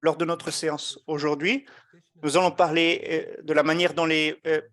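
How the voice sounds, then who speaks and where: A man giving a live interpretation of an online meeting, measured and often hesitating as he keeps up with the speakers. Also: a little high, little pitch movement, a bit bright. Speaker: simultaneous interpreter.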